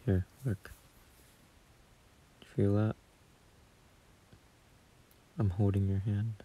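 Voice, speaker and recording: A man playing a gentle, shy, doting young boyfriend in a sleep-aid audio roleplay, murmuring softly and hesitantly close to a phone mic. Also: on the low side, level tone, very slow. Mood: soothing, tender. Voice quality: husky, soft, breathy murmur.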